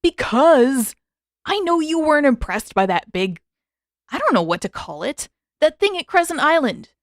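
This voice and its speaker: A woman's voice: sits high, sing-song, moderate pace, dry recording, clean studio sound, pitched above the performer's own voice. A woman voicing a brash, defensive, sarcastic teenage boy in a fan fiction audiobook, with a blunt, casual, emphatic delivery. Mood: indignant, defensive.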